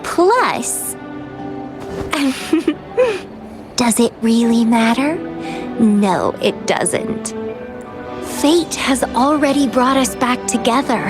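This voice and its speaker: Playful, unhurried young enigmatic woman. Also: on the high side, animated. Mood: teasing, tender. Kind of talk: video game character acting. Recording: music behind the voice.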